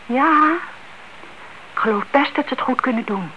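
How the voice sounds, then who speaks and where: An actress playing a nosy, suspicious older aunt, chatty and insistent, in a radio play. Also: female voice, sits high, normal speed, bright, forceful tone. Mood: gossipy, curious. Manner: chatty, insistent, inquisitive, repeats herself. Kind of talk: Dutch radio play acting. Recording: dull, noisy old radio-play recording.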